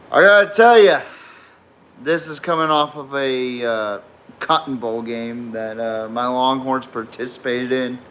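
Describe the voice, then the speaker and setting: A man hosting a late-night sports podcast with a slightly hoarse voice, rambling with many fillers while reading and answering chat comments. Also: mid-range voice, dull audio, a little hiss or hum. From Texas fan, not necessarily from Texas. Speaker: podcast host. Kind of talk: live-streamed sports podcast recap.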